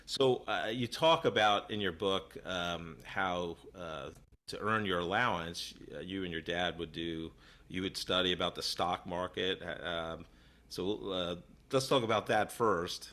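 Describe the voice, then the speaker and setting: Low-voiced man hosting a podcast chat. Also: unhurried, pushed and bright. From New York. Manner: rambling, many filler words and restarts. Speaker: podcast host. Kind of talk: casual interview chat.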